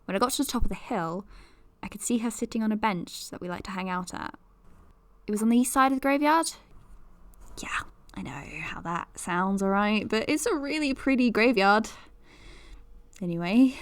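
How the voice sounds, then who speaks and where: A woman's voice: medium pitch, lively intonation, normal speed, long pauses, fairly bright tone, no echo. An actress playing a young woman podcaster who talks in a confiding, nervous, self-deprecating way. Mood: anxious. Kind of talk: audio drama monologue.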